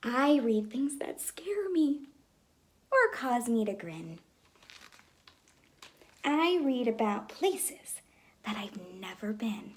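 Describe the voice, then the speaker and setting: A woman teacher reading a picture book aloud in an expressive way, speaking to young children. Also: on the high side, slow pace, pauses often. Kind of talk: children's story read-aloud. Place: room at home with reading chair.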